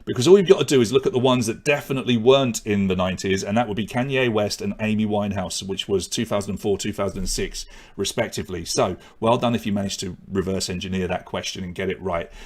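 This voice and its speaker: A man's voice: lowish voice, quick pace. A man hosting a live online pub quiz. He reads out questions and scores and drops in joking asides. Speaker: quiz host.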